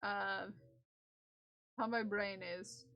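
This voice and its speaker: A woman's voice: medium pitch, steady tone, extremely slow, sharp tone, dry recording, rough recording. A young woman casually chatting on a live stream while she knits for charity. She rambles, giggles often and slips into playful bits of song. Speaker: livestreamer knitting for charity.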